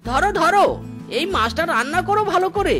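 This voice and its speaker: A woman's voice: very high-pitched, animated, brisk, a bit bright. A woman voicing a doting, eager male fox husband in a cartoon, with a coaxing, repetitive way of talking. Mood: reassuring, determined. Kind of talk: exaggerated cartoon dialogue. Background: background music.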